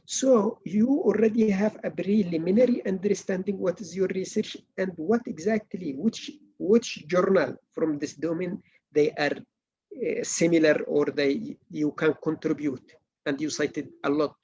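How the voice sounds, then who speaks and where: A middle-aged man from Malaysia, giving an academic writing workshop lecture in earnest, halting English with many restarts. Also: high voice, mellow, husky, highs cut off. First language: not English.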